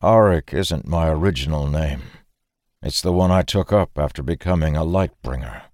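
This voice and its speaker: A man's voice: rumbling low, normal speed, a little mellow, no echo, studio quality. Man acting a bitter, vengeful rebel leader. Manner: snarling, fuming, harsh. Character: vengeful, bereaved male revolutionary leader, bitter and venomous.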